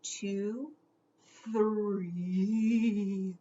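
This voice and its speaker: A woman reading a children's storybook aloud theatrically, stretching out words and dropping into hushed whispers for suspense. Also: mid-range voice, steady tone, drawn-out words, lo-fi sound.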